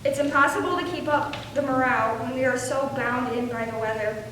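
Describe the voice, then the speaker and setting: A woman's voice: sits high, brisk, a bit bright. A woman playing an earnest, cold male soldier of the Civil War, reading a letter home in readers theater. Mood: weary, earnest. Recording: very echoey hall, distant, faint noise.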